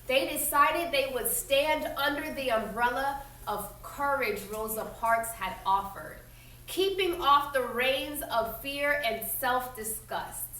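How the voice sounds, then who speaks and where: High-voiced woman reading aloud, echoey room. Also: slow pace, pushed and bright. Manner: emphatic, instructive, checks understanding with questions. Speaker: teacher reading aloud to young pupils. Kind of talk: expressive storybook read-aloud with explanatory asides and questions. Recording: echoey room, distant mic, faint noise.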